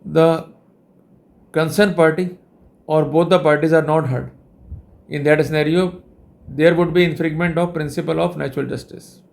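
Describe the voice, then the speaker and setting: A man with an Indian accent speaks as a lecturer, in a somewhat high voice. His delivery is formal and deliberate, explaining step by step and leaning on repeated linking phrases. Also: fairly even intonation, vocal fry, a little mellow. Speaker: legal lecturer.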